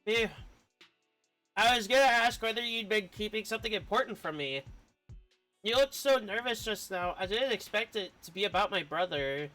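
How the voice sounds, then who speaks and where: A man's voice: sits high, animated, normal speed, pushed and bright, soft background music. A man streaming a story game, reading lines along and reacting to them.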